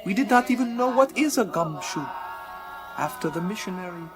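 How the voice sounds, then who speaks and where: An actor playing a courteous, solemn alien detective guide in a radio play, with formal, stilted phrasing and broken English. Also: male voice, sits high, expressive, brisk. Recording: music under the voice.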